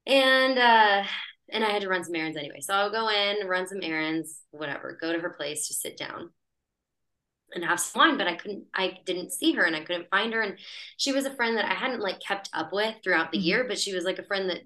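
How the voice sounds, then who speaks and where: A woman's voice: mid-range voice, animated, brisk, bright, forceful tone, low-quality recording. A woman telling a personal story as a podcast guest in a chatty, breezy way, laughing as she talks. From United States. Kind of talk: casual storytelling in conversation.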